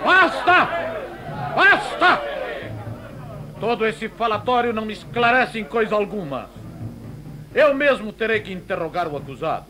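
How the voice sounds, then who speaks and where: An actor playing an imperious, impatient Roman governor in a biblical audio drama, with theatrical, declamatory delivery. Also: male voice, high voice, sing-song, unhurried, pauses often, pushed and bright, a faint crowd, exaggerated voice, poor audio. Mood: commanding, impatient.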